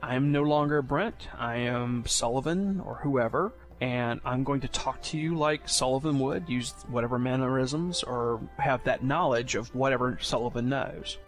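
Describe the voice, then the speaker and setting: A man's voice: medium pitch, normal speed, hardly any pauses. A man presenting an informal explainer for new players in a conversational, explanatory way. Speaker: podcast segment presenter. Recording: music playing behind the voice. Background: music.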